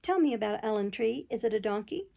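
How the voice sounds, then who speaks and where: A woman's voice: a little high, expressive, fast, creaky, darkish tone, husky, pitched above the performer's own voice, full character voice. A woman voicing a curious, polite, well-to-do little English girl in a storybook.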